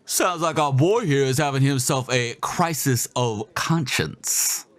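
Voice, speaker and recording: A man's voice: mid-range voice, measured pace, a bit bright, pitched above the performer's own voice, natural voice. A man voicing a cocky, crude young quarterback who taunts his friends in a brash, profane way. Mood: taunting.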